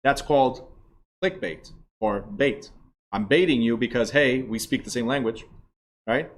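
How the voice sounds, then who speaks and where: A man's voice: medium pitch, measured pace. A middle-aged man, the director of a real estate school, lecturing a live class in a casual, chatty way and checking in with "right?". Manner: casual, chatty, asks the class questions and says "right?" a lot.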